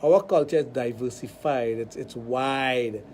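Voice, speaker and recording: A man's voice: medium pitch, a little slow, a little hiss or hum. Trinidadian man telling stories on radio. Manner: animated storytelling, acts out dialogue. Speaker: radio show host, sexologist. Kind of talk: radio talk show chat.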